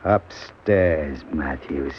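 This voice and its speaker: A man's voice: rumbling low, very slow, full character voice. An actor playing a cold, brutal American gunman in a radio crime play, speaking in curt threats. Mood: menacing. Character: menacing gunman husband, cold brutal gangster villain. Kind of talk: 1940s-50s radio crime acting. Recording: dull, slightly noisy old radio recording.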